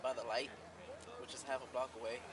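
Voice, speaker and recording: A man's voice: mid-range voice, flat delivery, crowd noise. A man, a police officer, talking easygoing and repetitive with a street preacher on a city street. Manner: rapid, easygoing, repeats himself. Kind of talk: casual conversation. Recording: distant voice, loud street noise.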